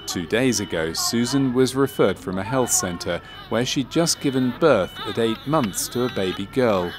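A man reading scripted narration for a medical training film in a measured, matter-of-fact way. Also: mid-range voice, lively intonation.

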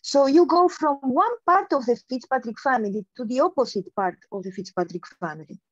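A woman's voice: high voice, animated, a little fast, no echo. A middle-aged woman on a video call, giving an explanatory academic answer and often restarting her phrases. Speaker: keynote speaker, mathematician. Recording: dull, lo-fi video call audio.

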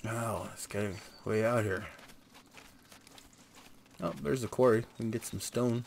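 A man's voice: lowish voice, steady tone, unhurried, creaky. A man casually narrating his gameplay, muttering joking asides as he goes. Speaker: gameplay streamer. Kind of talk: casual gameplay commentary, reading quest dialogue aloud. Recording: noisy home recording.

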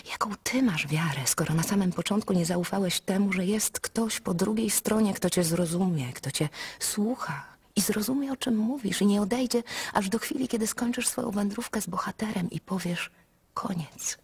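An actress playing Jacqueline, a sharp, probing woman, in a radio drama, speaking in a reproachful half-whisper. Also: mid-range voice, normal speed, slightly rough. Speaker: actor in a radio play.

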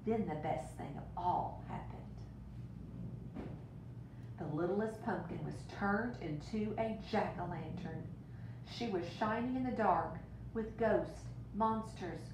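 Low-voiced woman reading a storybook, roomy sound. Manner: expressive, deliberate storytelling for young listeners. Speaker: teacher reading aloud to children. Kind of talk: storybook read-aloud with brief asides. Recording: roomy, distant mic with rumbling noise.

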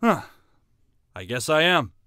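A man's voice: a little high, big pitch swings, takes it slowly, sharp tone, clear, resonant tone, dry recording, studio quality, full character voice. A man acting an easily flustered, put-upon young teacher in an amateur anime-style drama. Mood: awkward.

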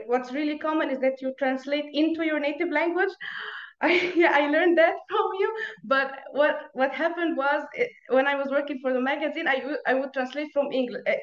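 A woman's voice: high voice, roomy sound, muffled recording. A young woman from Egypt, a native Arabic speaker, chatting in a video-call interview, laughing often and restarting her sentences. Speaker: guest. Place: small room at home. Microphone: earphone mic on video call.

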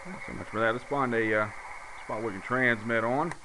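A middle-aged man casually demonstrating his hobby in a plain, folksy, halting way while he works, recorded on a handheld camera indoors. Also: mid-range voice, fairly even intonation. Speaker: amateur radio hobbyist. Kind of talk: casual hands-on demonstration talk. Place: indoors at a radio bench. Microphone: handheld camera's built-in mic. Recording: noisy camera audio with radio static. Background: radio receiver noise and static.

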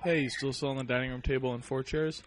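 A man with a mid-pitched voice talks casually on a phone call. He sounds hesitant, pausing to think and dropping in frequent short uh-huhs. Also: steady tone. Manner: hesitant, keeps interjecting uh-huh. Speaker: prank caller. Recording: phone prank call recording.

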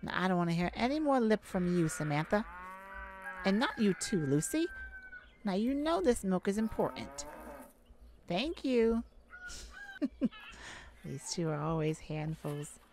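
A shy, sweet elf farmer voiced by a woman in an ASMR roleplay, speaking slowly and politely with a lively, swooping pitch. She is gentle and easily flustered, halting as she goes. Farm noise and clucking chickens sit behind her. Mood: mock scolding. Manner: halting, polite, nervous little laughs. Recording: clear background noise, farm chicken ambience. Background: farm ambience with chickens and rooster.

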